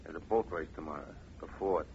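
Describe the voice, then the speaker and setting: A furtive racetrack tipster in old radio crime drama. His voice is very deep and urgent, coming over a telephone. The recording is dull and lightly noisy. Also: quick pace, creaky, a little mellow. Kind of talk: 1950 radio drama acting.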